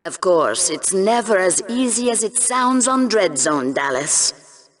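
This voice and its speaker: An actress playing a sardonic, bloodthirsty co-commentator on a sci-fi bloodsport broadcast in a video game, theatrical and mocking. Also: female voice, mid-range voice, lively intonation, slow. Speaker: analyst co-commentator. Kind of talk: sports broadcast banter, video game acting.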